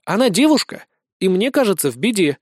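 A man voicing a polite, guileless young wanderer with a friendly deadpan manner in a fantasy audiobook. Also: high-pitched, brisk, dry recording, studio quality, exaggerated voice. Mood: earnest. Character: polite, naive, oddly earnest young male wanderer.

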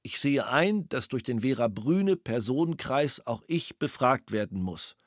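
A man's voice: mid-range voice, expressive, a little slow, no room sound, lightly acted. A male witness, read by an actor, giving a formal, matter-of-fact statement for a radio play. The voice is close and completely dry. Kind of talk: reading a police statement.

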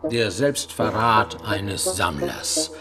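An actor playing an imperious, impatient English gentleman collector in a radio drama, curt and commanding, with a husky voice. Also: lowish voice, expressive, slow pace, over music. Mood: dry, brusque.

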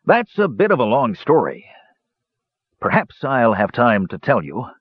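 Man voicing a blustering gruff dwarf captain, husky. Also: medium pitch, very animated, measured pace, no echo, lo-fi sound, pitched above the performer's own voice. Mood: evasive. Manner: hesitant mumbling bluster with salty sailor talk.

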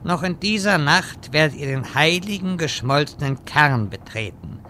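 Man acting a theatrical vain wizard, highish forceful voice, grandiloquent, radio-play parody, faint background noise. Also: lively intonation, moderate pace. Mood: solemn. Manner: grandiloquent, stilted wording. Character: pompous, vain, theatrical male wizard quest-giver.

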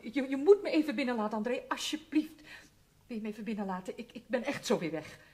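A woman's voice: a little high, animated, quick pace, darkish tone, lightly acted. An actress playing a distraught, apologetic woman in a radio play, stammering and effusive in her pleading. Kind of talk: Dutch radio drama acting.

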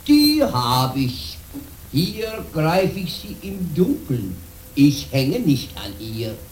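Sly old peasant, radio drama, very slow grumbling delivery, roomy and slightly noisy recording. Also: male voice, on the high side, big pitch swings, heavily acted. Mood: eager, sly. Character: gruff, sly old peasant father, greedy for gold. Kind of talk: German radio play acting.

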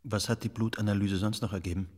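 Man playing a brisk, low-voiced investigator. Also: fairly even intonation, darkish tone, close to an everyday voice. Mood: inquisitive. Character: probing male investigator, cynical and matter-of-fact. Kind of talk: radio drama dialogue.

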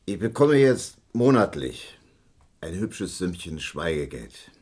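Cagey mercenary witness, very low voice, swinging intonation, sly and stubborn, vintage crime radio drama. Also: male voice, very animated, takes it slowly, a little mellow, husky, heavily acted. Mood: sly, confiding. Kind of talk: German crime radio play acting. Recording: vintage radio play recording.

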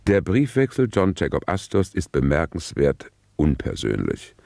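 A man's voice: rumbling low, wildly swooping pitch, moderate pace, vocal fry, a little mellow, no room sound, heavily acted. A man playing a detached commentator in a radio play, narrating with dry precision. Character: detached male commentator cataloguing passengers and their dress. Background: music.

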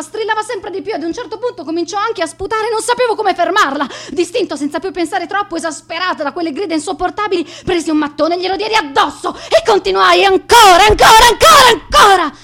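An actress playing a guilt-ridden, bullied teenage schoolgirl in a radio drama monologue, confessing in a halting, emotional manner. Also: extremely high pitch, fairly bright tone, exaggerated voice. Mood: frantic, rising rage.

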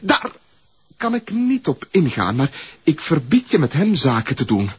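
Taunting blackmailer, Flemish accent, husky, lively pitch, lo-fi radio play. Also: male voice, a little slow, full character voice. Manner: teasing, sing-song, mocking endearments. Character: sly mocking male blackmailer, teasing and greedy. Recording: dull lo-fi radio recording.

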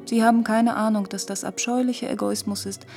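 A woman's voice: on the high side, steady tone, brisk, darkish tone, faint music behind, natural voice. An actress playing a cool, worldly lady who teases haughtily and drops French phrases. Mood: cool, mocking.

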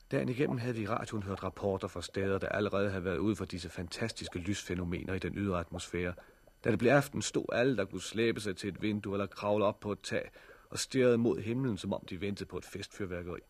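Guy acting a low voiced grumpy sceptic in a 60s radio play, dry and sarcastic, noisy old recording. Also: natural voice. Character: irritable male plant worker and narrator, hospital patient with bandaged eyes. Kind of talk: 1960s radio drama acting and first-person narration.

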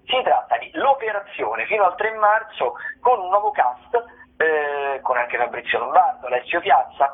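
A man hosting a radio show, talking through event listings informally, with hesitant, discursive, improvised phrasing. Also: fairly high pitch. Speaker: radio theatre show host. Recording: thin, band-limited telephone-like radio sound.

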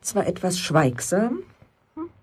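A woman's voice: on the low side, sing-song, takes it slowly, darkish tone, slight background noise. A woman acting a polite, grateful lady visitor in a German radio play, with a gracious manner of speaking. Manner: courteous, gracious.

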